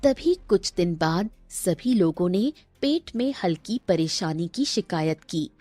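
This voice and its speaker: A woman's voice: on the low side, expressive, takes it slowly, warm tone, no echo. A woman narrating a scripted cartoon story.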